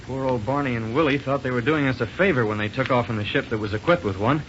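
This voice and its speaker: A man's voice: medium pitch, fast talker, lightly acted. A young space pilot hero voiced by a man from a vintage radio adventure. He talks in an earnest, folksy, eager way. The sound is muffled and slightly hissy. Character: earnest, plain-spoken young male rocket pilot hero. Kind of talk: 1930s radio acting.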